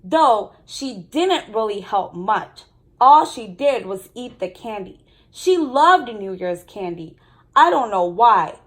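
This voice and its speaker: Female storybook reader, fairly high voice, expressive delivery for young listeners. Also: takes it slowly. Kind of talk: children's story read-aloud with brief commentary.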